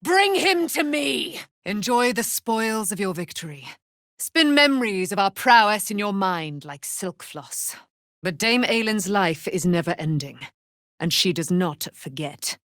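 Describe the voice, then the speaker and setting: A woman's voice: mid-range voice, sing-song, a little slow, fairly bright tone, no echo, studio quality. An actress voicing a proud, vengeful immortal warrior woman in a fantasy game, with grand, archaic, declamatory delivery. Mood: fierce, impassioned, then grand, gracious. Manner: declamatory, archaic, grand, speaks of herself in third person. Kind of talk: dramatic fantasy game acting.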